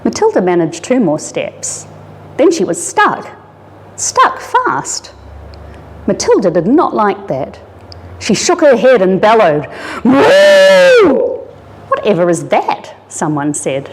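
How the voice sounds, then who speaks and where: A woman reads a children's picture book aloud in a dramatic, animated storytelling manner. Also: medium pitch, takes it slowly. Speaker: story reader, disaster resilience officer. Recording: reverberant hall-like room.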